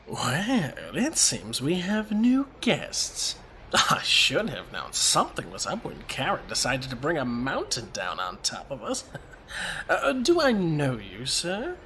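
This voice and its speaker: A man's voice: a little high, huge pitch swings, slow pace, bright, forceful tone, slight background noise, full character voice, rough recording. An actor playing a gleefully cruel madman sorcerer in an audio drama, theatrical, mock-polite and taunting. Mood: mocking, amused.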